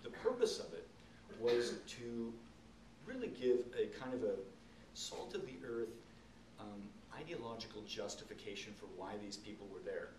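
A middle-aged man speaking as an author at a public talk, explaining and telling stories in an earnest, emphatic way with halting pauses. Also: mid-range voice, lively intonation. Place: indoor event room, seated on stage. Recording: roomy, distant camcorder sound, faint noise.

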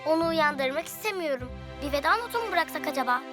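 A woman's voice: high voice, expressive, quick pace, over music. A woman voicing a kind, helpful baby-doll girl in a dubbed children's cartoon, with a childlike, earnest delivery.

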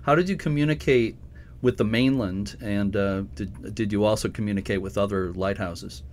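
Man, American host, low voice, conversational, easygoing interviewer, faint noise. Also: moderate pace. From United States. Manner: relaxed, conversational, with restarts and fillers. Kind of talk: interview questions.

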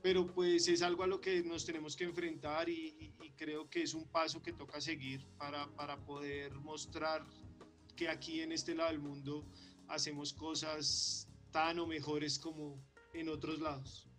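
Man with a flat, level voice. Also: on the high side, a little slow, faint music behind. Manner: hesitant, repeats words. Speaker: conference speaker. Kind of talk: conference talk and Q&A. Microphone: video call.

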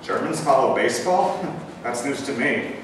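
A man's voice: medium pitch, expressive, moderate pace, natural voice. A young man acting a brash, irritable American GI with blunt, slangy talk in a staged script reading. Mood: guarded, chatty. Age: young adult. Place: bare rehearsal room, camera at a distance. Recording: echoey hall from far off, faint noise.

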